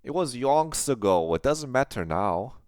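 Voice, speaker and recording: A man's voice: mid-range voice, very animated, measured pace, a little mellow, dry recording, clean studio sound, pitched above the performer's own voice. A man voicing a sullen, guarded teenage schoolgirl who answers curtly and brushes off concern. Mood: evasive. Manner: evasive, curt, defensive.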